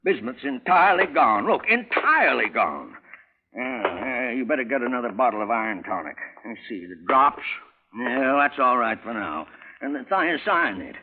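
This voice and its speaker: A man's voice: mid-range voice, big pitch swings, normal speed. A man playing a querulous, bullying old invalid uncle in an old-time radio drama, self-pitying and hectoring. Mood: grumbling, demanding. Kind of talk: 1940s radio drama acting. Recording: noisy, narrow-band vintage radio recording.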